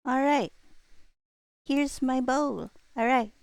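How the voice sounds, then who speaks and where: A woman's voice: high voice, takes it slowly, creaky, clear, resonant tone, no echo, clean studio sound. A woman hosting a livestream, chatting casually and playfully with viewers in a rambling way. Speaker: livestream host. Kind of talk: casual chat with live viewers.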